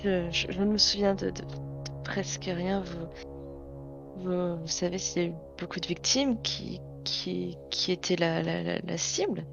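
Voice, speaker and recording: A woman's voice: fairly low pitch, a little slow, creaky, music in the background. A woman voicing a shaken, injured young woman who stammers and falters, on a dull lo-fi mic.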